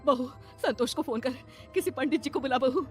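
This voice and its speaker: A woman's voice: a little high, moderate pace, soft background music, voice pushed up, close to an everyday voice. A woman voicing an older mother-in-law, worried and grave, speaking with dramatic emphasis in a horror story. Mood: alarmed.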